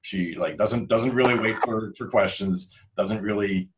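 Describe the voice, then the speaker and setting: Very low-voiced man chatting casually. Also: unhurried, background noise. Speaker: podcast crew member and author. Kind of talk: casual podcast chat.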